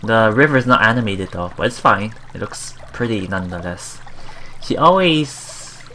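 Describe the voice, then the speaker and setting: A man hosting a let's play, reading game text aloud and adding halting, musing casual commentary, with water sounds behind him. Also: on the low side, bright, forceful tone, a little hiss or hum.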